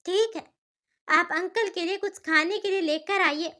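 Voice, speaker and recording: A woman's voice: extremely high pitch, measured pace, sharp tone, heavily acted. A woman voicing a caring, headstrong young daughter in a cartoon story, speaking earnestly and emphatically.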